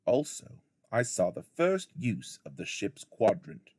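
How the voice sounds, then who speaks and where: A man reading a memoir aloud as a teacher would, with deliberate, dramatic phrasing. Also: on the low side, huge pitch swings, a little mellow, no room sound. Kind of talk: expressive audiobook narration of a memoir.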